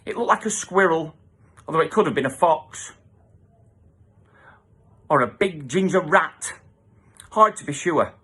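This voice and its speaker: A man's voice: fairly high pitch, expressive, a little slow, pauses often, bright, forceful tone, slightly rough. A man reading a children's story aloud as a theatrical narrator, with emphatic sound-effect words. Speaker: storybook reader.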